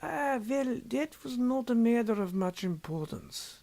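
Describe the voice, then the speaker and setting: A man voicing a sly, impassive, secretive scholar in an audiobook story, with measured, deliberate and formal phrasing. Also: very high-pitched, animated, vocal fry, dark tone, no echo, pitched above the performer's own voice, heavily acted. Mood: sly, probing. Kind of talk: audiobook character dialogue.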